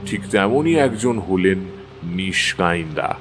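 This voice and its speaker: A man acting a calm, knowing older occultist who tells measured horror stories in an audio drama. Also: low-pitched. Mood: calm, solemn. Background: music.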